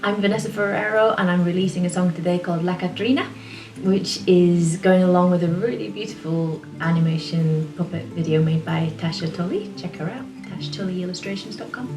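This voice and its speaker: A woman with a lowish voice talks in a relaxed, rambling way from her small home studio. She sits a little back from the mic in a roomy space, with clear music playing behind her. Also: a little fast, recorded from a distance. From England. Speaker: singer-songwriter. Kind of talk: casual talk to camera.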